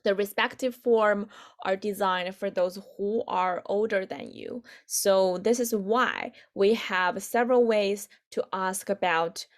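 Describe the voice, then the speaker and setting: A woman in her twenties with a Chinese accent and native Chinese, teaching an online language lesson haltingly, repeating phrases for learners. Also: medium pitch. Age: 20s. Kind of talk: online lesson, explaining with examples.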